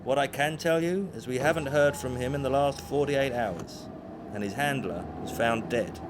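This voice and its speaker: An actor playing a discreet, courteous Scotland Yard detective and bodyguard in an audio drama, polite and official. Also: male voice, mid-range voice, normal speed, lightly acted. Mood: guarded, courteous. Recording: clear background vehicle noise.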